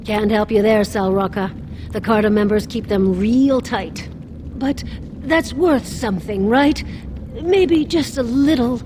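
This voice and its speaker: A woman's voice: fairly high pitch, a little slow, background noise, natural voice. Woman playing a wily old beggar woman. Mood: haggling. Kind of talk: fantasy game dialogue.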